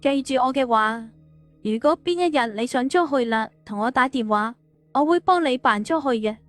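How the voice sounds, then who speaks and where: A woman's voice: high-pitched, lively intonation, moderate pace, a bit bright, no room sound. A woman playing a wistful, helpful young woman in an audio story. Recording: music under the voice.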